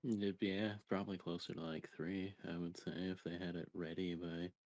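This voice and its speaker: A man with a distinctly deep voice speaks as co-host of a gaming podcast. His delivery is casual and conversational. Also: little pitch movement, moderate pace, a little mellow. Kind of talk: casual video game discussion.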